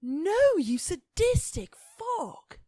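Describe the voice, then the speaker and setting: Woman acting War rider, high-pitched, swooping, sneering, short-tempered, sweary, radio drama. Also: very animated, very slow, dark tone, exaggerated voice. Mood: angry, mocking. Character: War, brash, short-tempered horsewoman of the apocalypse.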